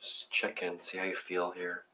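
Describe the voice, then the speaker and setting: A man casually giving step-by-step guided meditation instructions in an informal, gently encouraging way. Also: low-pitched, monotone, normal speed, slight background noise.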